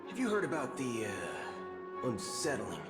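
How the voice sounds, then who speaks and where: A man's voice: mid-range voice, huge pitch swings, measured pace. A man playing a brash, cocky cowboy outlaw in a video game, with a folksy, slangy drawl. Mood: feigned casual chat. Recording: echoey, loud game music behind.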